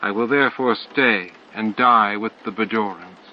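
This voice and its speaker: A man voicing a gentle but resolute female insectoid alien in an audiobook, with solemn, formal speech. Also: fairly low pitch, moderate pace, natural voice. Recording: dull lo-fi audio, clear noise.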